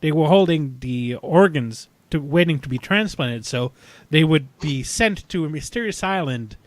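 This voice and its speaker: Halting man with a close, dry sound. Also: on the high side, expressive, dry recording. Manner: halting, thinking aloud. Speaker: podcast panelist. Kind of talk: casual chat reviewing sci-fi television.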